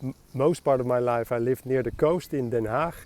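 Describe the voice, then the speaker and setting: A man's voice: mid-range voice, normal speed, darkish tone. A young Dutch man with a Dutch accent, answering street interview questions in English with frequent hesitant fillers. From Netherlands.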